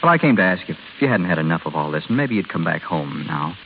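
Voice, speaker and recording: A young man in a vintage radio drama, an awkward, lovesick husband who pleads and blurts stubbornly. His pitch swings widely and he talks fast. The recording is narrow and phone-like with faint hiss. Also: very animated, gravelly, exaggerated voice. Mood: hurt, hesitant. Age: young adult. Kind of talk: 1930s-40s American radio drama acting. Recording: scratchy, hissy old radio broadcast, phone-like.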